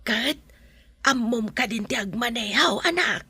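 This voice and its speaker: A woman playing a fretful older mother in a radio drama, with a sharp, gravelly voice and a plaintive, questioning manner. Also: on the high side, animated, unhurried, dry recording, exaggerated voice. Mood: pleased, curious. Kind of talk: Ilocano radio drama acting.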